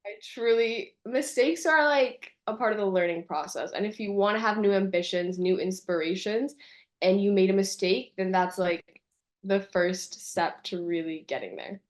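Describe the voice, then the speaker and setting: Reflective young woman, lots of "like". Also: medium pitch. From California, USA, and Colombia. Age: young adult. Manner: reflective, says "like" often, slows to stress words. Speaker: guest, university student abroad. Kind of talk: casual interview chat.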